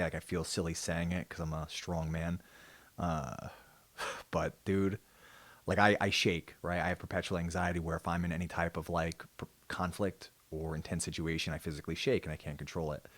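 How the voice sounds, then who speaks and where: A man with a very low, deep voice talks off the cuff as the host of his own show. He rambles and pokes fun at himself, now and then jumping into loud, joking outbursts. The recording is close and dry, with no room sound. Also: level tone, moderate pace, no echo. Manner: rambling, self-mocking, with sudden loud jokey bursts. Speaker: podcast host. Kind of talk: casual solo monologue.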